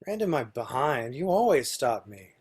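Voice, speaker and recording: A man's voice: mid-range voice, big pitch swings, normal speed, a little mellow, husky, faint music behind. A man playing a lonely, grumbling video-game bad guy with a sarcastic edge in a screenplay reading. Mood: sullen, sarcastic.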